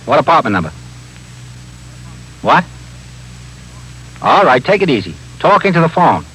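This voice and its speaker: A curt American police desk sergeant questions callers in a fairly high, lively voice. The style is 1950s radio drama, with faint noise on the old recording. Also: male voice, a little slow, slightly rough, exaggerated voice, poor audio. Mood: urgent, businesslike. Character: brusque desk sergeant taking emergency phone calls.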